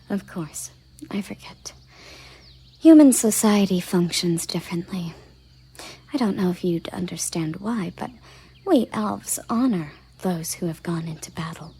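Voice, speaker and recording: A proud elven captain of the guard, acted by a woman in a roleplay audio drama. Her voice is mellow and breathy, often sinking to a half-whisper. She speaks slowly and formally, giving orders and questions with imperious, curious care. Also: on the low side, expressive, heavily acted. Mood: curious, measured. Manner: formal, deliberate, imperious phrasing.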